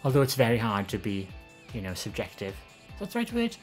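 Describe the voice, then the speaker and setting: Soft-spoken Welsh woman chatting on stream. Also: sing-song, fairly quick, faint music behind. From Wales, the Valleys. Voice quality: soft, gentle voice with a lower, less feminine tone. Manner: chatty and rambling, with many ums and self-corrections. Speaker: live streamer answering viewer questions. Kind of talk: casual live-stream chat.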